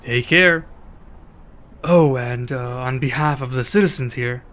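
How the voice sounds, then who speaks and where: A man acting a one-sided ASMR roleplay as a laid-back, friendly werewolf local, speaking casually and in a measured way with pauses. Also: mid-range voice, fairly bright tone.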